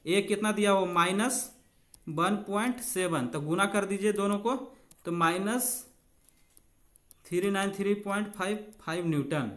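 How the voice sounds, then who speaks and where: High-pitched man teaching. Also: unhurried, fairly bright tone. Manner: informal, addresses students as friends. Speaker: exam coaching teacher. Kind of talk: bilingual exam lesson, explaining questions step by step.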